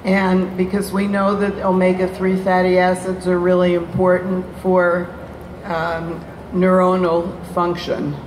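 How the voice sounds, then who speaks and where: A middle-aged woman on a convention panel giving a measured, technical answer with fillers into a handheld mic. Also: lowish voice, monotone, a little mellow. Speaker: panelist answering audience question. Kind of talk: careful explanatory panel answer. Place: large convention hall stage. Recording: echoey convention hall with noise.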